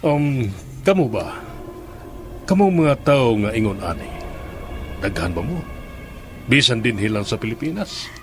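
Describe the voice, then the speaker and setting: An actor playing a fearful, vengeful governor in a horror audio drama. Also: male voice, medium pitch, sing-song, unhurried, pauses often. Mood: wary, curious. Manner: stammers when frightened. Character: fearful, vengeful male governor hiring a sorceress. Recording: music under the voice.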